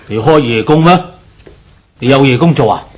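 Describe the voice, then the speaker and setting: A man's voice: mid-range voice, animated, normal speed, lightly acted, rough recording. Nagging older creditor, played by a man, Hong Kong Cantonese. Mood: scolding. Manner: lecturing, sighing, presses his point. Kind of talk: Cantonese radio drama acting.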